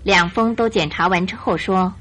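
A woman narrator telling a scripted detective story for a radio drama in an expressive storytelling style. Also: mid-range voice, normal speed, a little hiss or hum.

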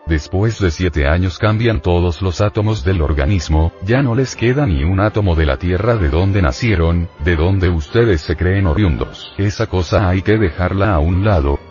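A man with a very low voice lectures a group of students in an insistent, admonishing way, leaning on rhetorical questions. The recording is muffled and lo-fi, with faint music behind him. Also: animated, normal speed, hardly any pauses, darkish tone. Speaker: spiritual teacher lecturing students.

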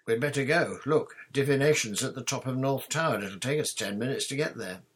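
A man plays a young schoolboy in a storybook, practical and inclined to grumble. He sounds doubtful. The voice has a husky grain. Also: mid-range voice, a little fast, pitched above the performer's own voice, lightly acted. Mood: doubtful, anxious. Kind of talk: audiobook character voice.

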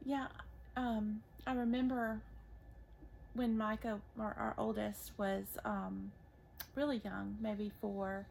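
Soft-voiced woman with gravelly fry. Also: medium pitch, little pitch movement, a little hiss or hum, poor audio. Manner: gentle, halting, many restarts. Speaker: podcast co-host, mother. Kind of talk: casual faith conversation.

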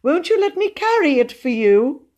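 A woman voicing a pompous, nervous gentleman poet in an audiobook, stammering and spluttering with indignation. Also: sits high, big pitch swings, normal speed, pitched above the performer's own voice. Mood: nervously soothing. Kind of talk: audiobook character voice.

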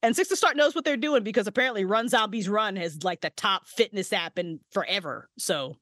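A woman's voice: mid-range voice, expressive, fairly quick, a bit bright, no room sound. A woman guest on a podcast, chatting casually and animatedly, swearing freely and laughing often, recorded in a home booth. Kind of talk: casual chat.

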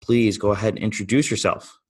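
A man with a very low voice, hosting a podcast interview. He greets his guest and puts his questions, dropping short affirming replies while she talks. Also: fairly even intonation, a little fast, a little mellow, husky. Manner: brief encouraging interjections. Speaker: podcast host.

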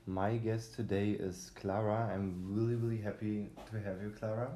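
Male podcast host, low warm tone, rambling delivery full of fillers. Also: level tone, moderate pace, reverberant, slight background noise. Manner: rambling, many fillers and restarts. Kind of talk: casual conversational podcast.

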